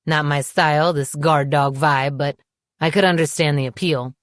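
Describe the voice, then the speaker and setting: A woman voicing a calm, confident, patronizing man in an audiobook, smooth and condescending. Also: low voice, moderate pace, dry recording, studio quality, close to an everyday voice. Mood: smug, calm.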